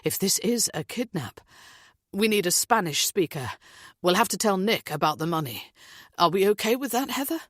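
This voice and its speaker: A woman's voice: mid-range voice, lively intonation, normal speed, a bit bright, dry recording, clean studio sound. A woman voicing a shaken man who talks in clipped, urgent sentences. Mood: steady.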